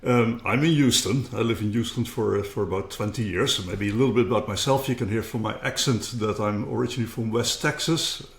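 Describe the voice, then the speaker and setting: A man's voice: fairly low pitch, brisk, vocal fry, few pauses, reverberant. A middle-aged man with a Dutch accent, talking earnestly as a guest in a casual interview and often repeating words as he starts a thought. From Netherlands. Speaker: guest, company co-founder.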